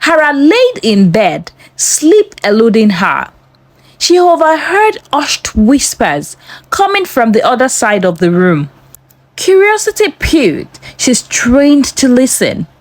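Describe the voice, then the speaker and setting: A woman narrating a scripted suspense tale in a measured, dramatic storytelling manner. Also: high-pitched, very animated, no echo.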